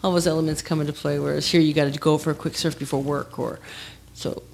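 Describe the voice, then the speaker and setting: A woman guest on a radio show with a notably low voice, talking fast and with enthusiasm about her travels and hobbies. Her words tumble out quickly in a casual, chatty way. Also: little pitch movement, gravelly, darkish tone, a little hiss or hum. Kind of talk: casual chat.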